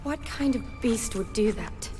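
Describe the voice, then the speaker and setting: A woman's voice: on the high side, lively intonation, a little slow. A woman acting an earnest, determined young medic in a video game, caring and stubborn, in a natural conversational manner. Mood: concerned, questioning. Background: music.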